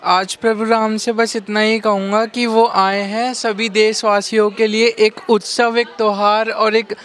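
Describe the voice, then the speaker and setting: Earnest guy with a really high voice, runs his sentences together. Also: level tone, measured pace, a bit bright. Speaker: newsroom colleague interviewed.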